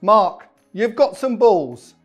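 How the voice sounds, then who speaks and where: A man's voice: sits high, big pitch swings, slow pace, lo-fi sound. Lead investor, a man, blunt and direct, light music under his voice. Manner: blunt and forthright.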